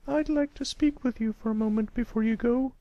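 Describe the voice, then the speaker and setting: A man voicing a kindly, concerned female pony schoolteacher in falsetto, in a storybook reading. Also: a little fast, dry recording, muffled recording. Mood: gentle.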